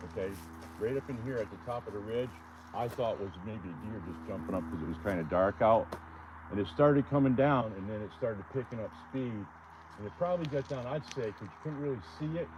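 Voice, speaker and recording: A man outdoors on a wooded ridge, earnestly telling the story of his encounter, rambling and restarting as he goes. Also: medium pitch. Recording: outdoor noise.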